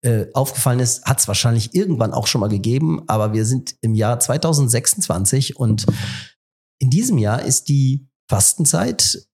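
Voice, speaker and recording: Husky man with a head cold. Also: mid-range voice, animated, a little mellow. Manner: thinks aloud with many pauses and fillers. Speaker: podcast host. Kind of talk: casual conversation. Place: kitchen in a rectory.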